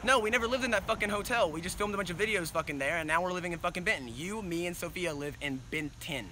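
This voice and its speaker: A man's voice: high-pitched, quick pace, sharp tone, slight background noise. A man playing a cocky, profane young slacker, swaggering and exasperated with his friends in an amateur comedy skit. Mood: angry, fed up. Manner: brash, swaggering, repeats himself.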